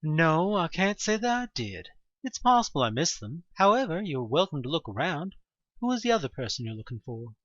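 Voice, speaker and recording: Narrator doing a calm, courteous male priest. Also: mid-range voice, brisk, no room sound, dull audio. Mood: gentle, courteous. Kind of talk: audio drama dialogue.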